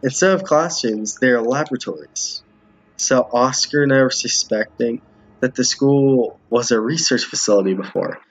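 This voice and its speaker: A man's voice: medium pitch, normal speed, husky. A man giving casual game commentary as a streamer, reading dialogue aloud and often stumbling over or repeating lines. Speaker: streamer playing a visual novel with viewers. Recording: muffled, roomy home recording.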